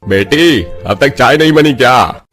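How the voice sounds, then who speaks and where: A man's voice: medium pitch, expressive, unhurried, a bit bright, soft background music. A man voicing a middle-aged, plain-spoken father in a cartoon family story. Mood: resigned, impatient. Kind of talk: Hindi cartoon story dubbing.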